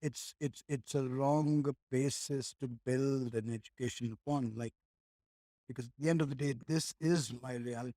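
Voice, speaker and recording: Rambling man with mellow tone. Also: medium pitch, fairly even intonation, moderate pace, no echo. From India. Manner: rambling, with frequent restarts and "like", "right?". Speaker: podcast guest. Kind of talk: reflective conversational chat.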